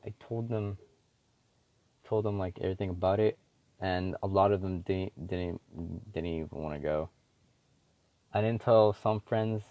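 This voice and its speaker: A man's voice: deep voice, steady tone, measured pace, long pauses, highs cut off. A teenage boy talking alone in a casual, rambling way, swearing often and full of fillers and restarts, as host of his own show. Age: teens. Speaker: solo podcaster.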